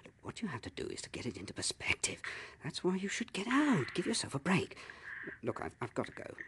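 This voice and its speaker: A man's voice: fairly high pitch, huge pitch swings, brisk, in a whisper. A man playing a brusque, condescending English businessman husband in a British radio horror drama, impatient and placating by turns. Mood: placating, dismissive.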